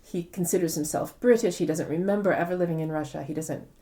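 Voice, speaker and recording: A woman speaks as an interviewed author with a very mellow, warm tone. Her pitch sits a little low, and she explains her points articulately, one step at a time. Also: fast.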